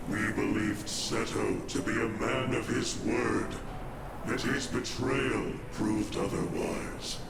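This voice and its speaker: Gravelly, echoing ancient warrior spirit. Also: male voice, lowish voice, heavy background noise. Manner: grave, archaic, stately storytelling. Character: ancient vengeful warrior spirit, solemn and embittered. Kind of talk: video game cutscene acting. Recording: heavily reverberant, loud music behind.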